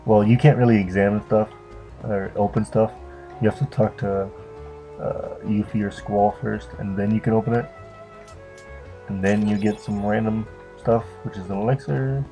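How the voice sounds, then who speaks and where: A man's voice: lowish voice, steady tone, normal speed, mellow. A man giving casual, unscripted video game commentary, rambling and hesitant as if unsure of his facts. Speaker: let's play commentator. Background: game music and cutscene dialogue.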